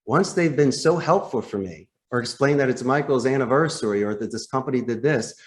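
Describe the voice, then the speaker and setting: A man with a mid-pitched voice coaches phone sales as a guest trainer. He is emphatic and demonstrative, acting out example lines. He addresses the listener directly, with a salesman's habit of posing questions and answering them. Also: lively intonation, fairly quick. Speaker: podcast guest, call center sales trainer.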